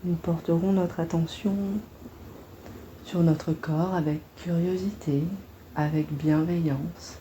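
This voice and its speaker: A woman guiding a body-scan meditation in a gentle, soothing, unhurried manner, leaving long pauses between phrases. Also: sits low, fairly even intonation, a little hiss or hum, low-quality recording.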